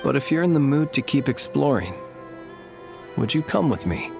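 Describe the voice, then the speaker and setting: A man voicing a solemn, gentle and courteous guardian of the dead in a video game, speaking formally and with reverence. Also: medium pitch, big pitch swings, moderate pace, vocal fry, over music.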